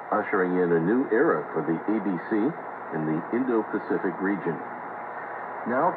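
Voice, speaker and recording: Man, radio host, scripted narration, low pitch, noisy shortwave reception. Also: phone-quality sound.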